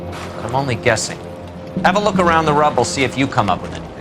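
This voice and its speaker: An actor playing a measured investigator. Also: male voice, medium pitch, sing-song, music in the background, natural voice. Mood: calm. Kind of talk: video game cutscene acting.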